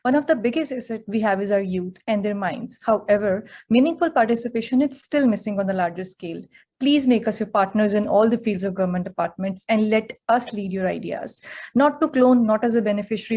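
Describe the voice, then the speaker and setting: A woman's voice: mid-range voice, darkish tone. A woman from Pakistan, a youth advocate, giving an earnest prepared statement.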